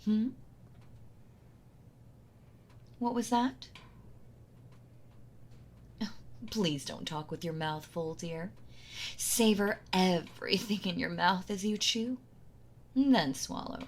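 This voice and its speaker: A woman playing a possessive, sweetly cruel yandere wife in an intimate roleplay audio drama, gloating and mock-tender as she toys with her captive. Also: on the low side. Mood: mock-tender, controlling. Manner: slow taunting delivery, breathy soft laughs, mock-tender.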